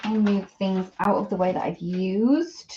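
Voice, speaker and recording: Female craft demonstrator, unhurried and chatty, self-deprecating, talks herself through each step; slightly muffled lo-fi sound. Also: medium pitch, dark tone, a little hiss or hum. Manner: chatty, thinking aloud while working. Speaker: host of a live papercraft demonstration. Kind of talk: casual live craft tutorial chat.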